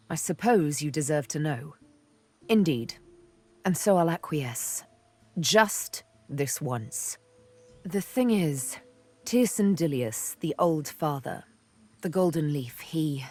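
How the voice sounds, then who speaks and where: A woman's voice: low-pitched, darkish tone, breathy edge, heavily acted. A woman voicing a sardonic, vengeful elf huntress for a video game, with arch, teasing, theatrical phrasing. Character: sardonic, vengeful female elf, former slave turned huntress. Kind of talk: video game character dialogue. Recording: background music under the voice.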